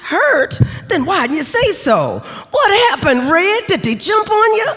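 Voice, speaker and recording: A woman's voice: very high voice, wildly swooping pitch, measured pace, fairly bright tone, heavily acted. A woman playing a brassy, bullying female gang boss with tough slangy talk in a stage radio play. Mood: concerned, suspicious. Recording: echoey stage hall recording.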